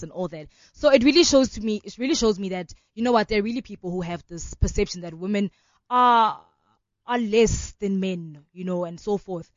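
A woman's voice: medium pitch, no room sound. Young south african woman on a radio show, earnest, lots of "you know", dry dull sound. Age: 20s. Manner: earnest, fluent, many fillers like "you know". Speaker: law student and organization founder. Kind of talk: radio interview.

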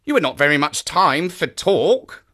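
Narrator acting a high, excitable naturalist gentleman. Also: a little slow. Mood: brisk, probing. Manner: outwardly casual, probing. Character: excitable, uneasy naturalist man, the woman's brother.

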